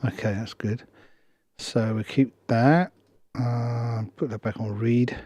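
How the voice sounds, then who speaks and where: A hoarse male music producer talking through a screen tutorial step by step, thinking aloud in short half-finished phrases. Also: mid-range voice, steady tone, slow pace, warm tone. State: hoarse after a cold. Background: electronic dance music playing.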